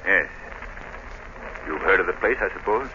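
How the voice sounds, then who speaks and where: An actor playing a cool, inquisitive, wealthy gentleman in polished 1930s radio drama style. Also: low voice, animated, moderate pace. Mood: cool, probing. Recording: dull, noisy 1930s radio recording.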